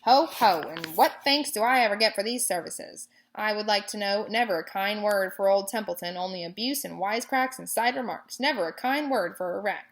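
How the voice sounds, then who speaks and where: A woman's voice: medium pitch, moderate pace, hardly any pauses, natural voice. Storybook rat: woman acting out a lazy, sarcastic male rat, grumbling and mocking.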